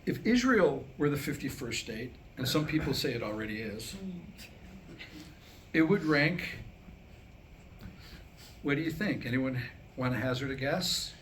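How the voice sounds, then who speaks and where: A man's voice: mid-range voice, lively intonation, measured pace, long pauses, fairly bright tone. A man telling jokes to live listeners in a conversational, wry stand-up style. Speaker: presenter telling jokes to a small group. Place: live staged reading before listeners. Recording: faint background noise.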